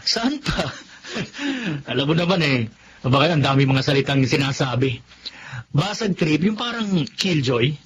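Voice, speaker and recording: A man's voice: fairly high pitch, very animated, a little slow, long pauses, a bit bright. A man playing a good-humoured schoolteacher husband in a Tagalog radio drama, jovial and bantering, with a Filipino accent. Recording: dull lo-fi audio with noise.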